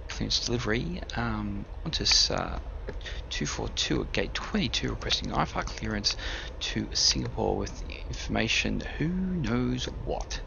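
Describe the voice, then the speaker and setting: A man's voice: lowish voice, vocal fry, lo-fi sound. A man streaming a flight simulator, chatting casually and muttering to himself as he works the controls. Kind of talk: casual flight sim commentary. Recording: noisy stream audio.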